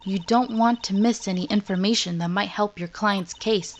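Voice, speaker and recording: A woman voicing a calm, stern female mentor lawyer in a game fandub, composed and instructive. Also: mid-range voice, moderate pace, a little hiss or hum, close to an everyday voice. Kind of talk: comedic video game fandub. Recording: faint game music behind.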